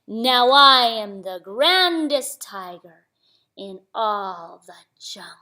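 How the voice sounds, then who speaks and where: Woman putting on a slow, boastful storybook tiger. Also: mid-range voice, animated, pushed and bright. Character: boastful, menacing grand male jungle tiger. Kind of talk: children's storybook reading.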